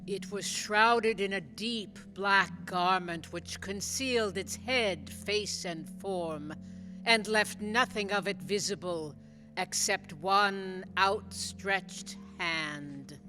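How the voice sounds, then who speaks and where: A woman's voice: medium pitch, slow. A woman reading scripted narration as a narrator in a radio play. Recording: reverberant hall, faint music.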